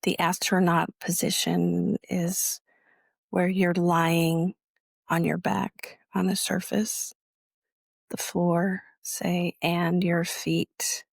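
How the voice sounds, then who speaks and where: A woman teaching guided meditation in a measured, instructional way, leaving long pauses between phrases. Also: on the low side, creaky, husky, no room sound.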